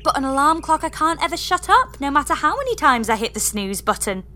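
High-pitched, exasperated spacewoman, played by an actress. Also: fairly quick, a little hiss or hum, full character voice. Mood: sarcastic. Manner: deadpan sarcasm rising to shrill exasperation. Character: exasperated, lonely woman space engineer, sarcastic and blunt. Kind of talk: comedy sci-fi audio drama acting.

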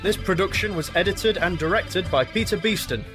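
Guy announcer reading show credits, slightly high voice, guitar playing clearly behind him. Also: normal speed. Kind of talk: scripted credits narration. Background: guitar music.

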